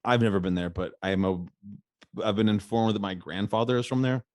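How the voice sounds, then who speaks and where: A man's voice: fairly low pitch, a little fast, a little mellow, no room sound, studio quality. A man hosting a podcast, talking in a loose, rambling way and laughing often. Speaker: podcast host. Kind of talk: casual interview chat.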